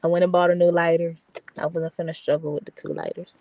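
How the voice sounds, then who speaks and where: A woman's voice: fairly low pitch, flat delivery, fast talker, no room sound, dull audio. A tired, slightly stuffy woman, rambling casually in a late-night chat. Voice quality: soft voice. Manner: soft-spoken, rambling with restarts.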